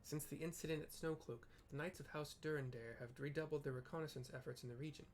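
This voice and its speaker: A man's voice: on the high side, fairly even intonation, fast, slight background noise, natural voice. A man reading Alphinaud, earnest young scholar. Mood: measured. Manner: reads lines aloud in a light, near-natural voice.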